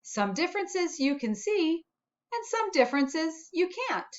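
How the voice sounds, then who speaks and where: A woman's voice: very high-pitched, very animated, a little slow, dull audio, pitched above the performer's own voice, full character voice. A woman voicing a friendly, encouraging little spot character from a children's storybook. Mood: upbeat, encouraging.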